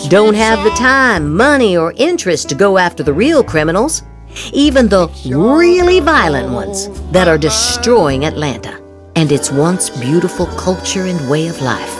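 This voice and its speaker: A woman's voice: on the low side, very animated, a little slow, music in the background. A woman podcast host reading political social media posts aloud dramatically, with theatrical, exaggerated inflection.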